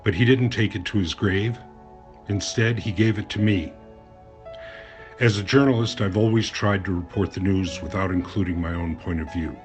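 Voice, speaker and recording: A man's voice: sits low, dull audio, natural voice. A man as an earnest, troubled journalist, faint music. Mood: grieving, resolute. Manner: earnest, deliberate. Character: earnest, troubled male journalist, loyal friend. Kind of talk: audio drama acting and narration.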